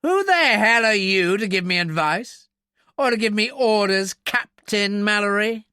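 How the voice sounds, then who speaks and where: A man's voice: sits high, huge pitch swings, takes it slowly, pushed and bright, dry recording, clean studio sound, pitched above the performer's own voice, exaggerated voice. A man voicing a blustering, indignant military officer in an audiobook, with a pompous, sneering, emphatic manner. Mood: angry, indignant.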